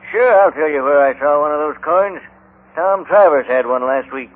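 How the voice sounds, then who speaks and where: Man as a higher-voiced frontier doctor. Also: normal speed, natural voice. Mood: curious, obliging. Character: matter-of-fact small-town frontier doctor. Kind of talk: 1950s radio Western acting. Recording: tinny old radio recording.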